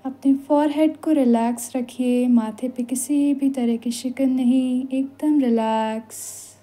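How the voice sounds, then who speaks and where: Meditation instructor: Indian woman, slightly high but relaxed voice, soothing and unhurried, long pauses. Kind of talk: guided meditation in Hindi with some English phrases. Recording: voice over background music. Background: meditation music.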